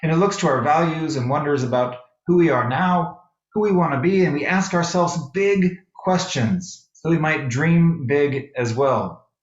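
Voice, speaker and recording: A male minister with a slightly high voice delivers a sermon in deliberate, measured phrases, pausing between thoughts. The sound is clearly roomy and echoing, as in a worship space, and a little dull on the top end. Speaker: minister preaching to a congregation. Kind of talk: sermon with poetry readings.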